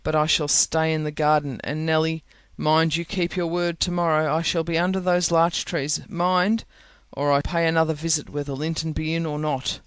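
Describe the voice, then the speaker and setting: A reader voicing a brooding, passionate man in an audiobook, speaking low and intense. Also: level tone, normal speed, hardly any pauses, clean tone, dry recording, close to an everyday voice. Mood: stern. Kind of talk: audiobook character reading.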